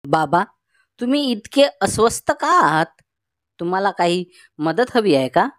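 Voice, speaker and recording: A woman playing an earnest, low-voiced schoolchild. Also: very animated, a little slow, dry recording, clean studio sound. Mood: concerned. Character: earnest, helpful school-age child of a poor family. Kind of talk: scripted cartoon story dialogue.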